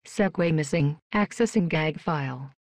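A woman voicing a polite, emotionless female robot worker in a video game, with flat, stilted, deadpan delivery. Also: sits low, normal speed, no room sound, studio quality. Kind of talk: scripted video game voice lines.

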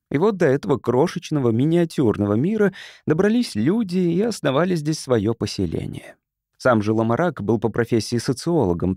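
Male narrator for literary audiobook; mellow, animated intonation, measured storytelling, dry close mic. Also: mid-range voice, dry recording. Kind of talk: scripted literary narration, reading a science fiction story.

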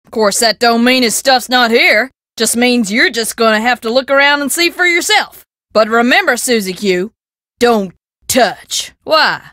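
High-pitched folksy country shopkeeper. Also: female voice, a little slow, bright, forceful tone, no echo, studio quality. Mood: suspicious, stubborn. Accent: rustic American country twang. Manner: folksy, drawling, bossy. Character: sassy, folksy frontier shopkeeper woman, brash and starstruck. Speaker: keeper of an old-time museum and taffy shop. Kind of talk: video game character dialogue.

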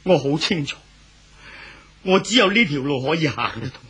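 An actor playing an anxious, clinging older husband in a 1970s radio drama, pleading and insistent, with a Hong Kong Cantonese accent. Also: male voice, on the high side, sing-song, normal speed, pushed and bright, slightly rough, full character voice. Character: anxious older husband clinging desperately to his unfaithful wife.

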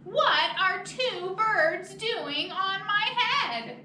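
A woman voicing an anxious, exasperated elephant in a children's picture book, with drawn-out, incredulous exclamations. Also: extremely high pitch, lively intonation, sharp tone, full character voice. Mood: exasperated disbelief. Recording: echoey, noisy room, far from mic.

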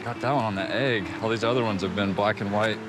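Excitable man fishing outdoors. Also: on the low side, quick pace, loud music behind the voice. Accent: Oklahoma. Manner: excitable, bursts into loud cheers over catches. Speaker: fishing vlogger. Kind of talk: casual outdoor narration. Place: outdoors by a river pool on a grey day.

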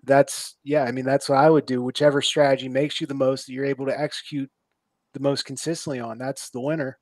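A man's voice: medium pitch, flat delivery, fairly quick, a little mellow, no room sound. A man on a video call giving matter-of-fact trading advice as a guest. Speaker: guest trader. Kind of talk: casual video-call panel chat.

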